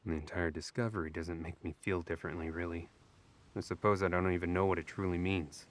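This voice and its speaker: Man voicing a wry, very low-voiced young elf noble. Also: steady tone, brisk, lightly acted. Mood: wry, unimpressed. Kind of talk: audio drama dialogue.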